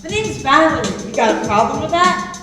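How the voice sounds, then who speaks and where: A woman acts a blunt, streetwise female convict with a high voice and a curt, combative manner. It is a stage musical, picked up from a distance in a heavily echoing hall with music. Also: expressive, brisk. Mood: defiant. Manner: curt, confrontational. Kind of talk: staged musical theatre reading.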